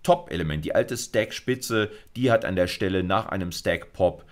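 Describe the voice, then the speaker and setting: A man's voice: low voice, moderate pace. A middle-aged man lecturing at university level in an explanatory screencast, informal and emphatic, with frequent check-in words. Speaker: university computer science lecturer. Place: indoors, recorded screencast.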